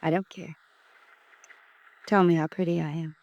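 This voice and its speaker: A woman's voice: lowish voice, steady tone, moderate pace, darkish tone, highs cut off, lightly acted. An actress playing a coaxing, evasive American society wife in her early thirties in a 1950s radio drama. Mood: flirtatious.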